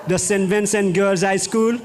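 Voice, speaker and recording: A man acting as master of ceremonies at an event in a church hall, announcing and then making quick practical asides, heard from away from the mic. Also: sits high, steady tone. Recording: loud crowd, from off the mic.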